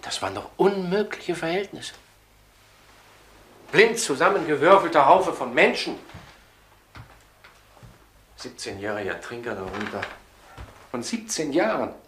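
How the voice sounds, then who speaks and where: An actor playing a distraught, lovesick middle-aged murder suspect in a crime radio drama, halting and defensive. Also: male voice, fairly high pitch, very animated, fairly bright tone. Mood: agitated regret. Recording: noisy old radio drama recording.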